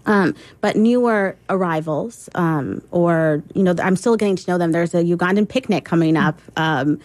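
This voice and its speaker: Reflective woman guest, lower voice. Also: normal speed, a little mellow, no echo. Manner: reflective, hesitant, many ums and restarts. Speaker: interview guest, poet and adult education teacher. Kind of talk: radio interview.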